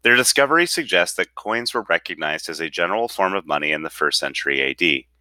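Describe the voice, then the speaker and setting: A man's voice: sits low, lively intonation, fast, bright, forceful tone, no echo. A man reading an article aloud with careful enunciation. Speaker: reader.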